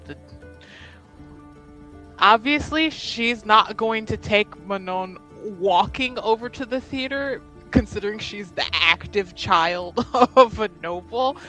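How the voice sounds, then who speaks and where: A woman's voice: mid-range voice, animated, slow, pushed and bright, faint music behind, low-quality recording. A woman, giggly and rambling as she improvises casually as a player in a tabletop roleplaying game.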